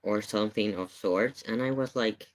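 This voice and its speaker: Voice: medium pitch, moderate pace. A streamer chatting casually and telling a rambling story, with hesitant, repetitive phrasing. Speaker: live streamer reacting to videos. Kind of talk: casual livestream chat, rambling anecdote.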